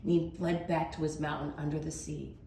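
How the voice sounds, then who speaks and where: Woman, teacher reading aloud, expressive storybook narration, emphatic delivery, roomy echo, faint noise. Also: low voice, vocal fry. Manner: dramatic, with emphatic drawn-out words.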